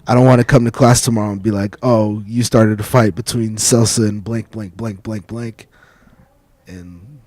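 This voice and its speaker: A man's voice: fairly low pitch, steady tone, normal speed, darkish tone, dry recording. A young man hosting a casual studio chat, joking and playful, laughing often, on a table mic in a small college studio. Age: young adult. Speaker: host. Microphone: table mic on a stand.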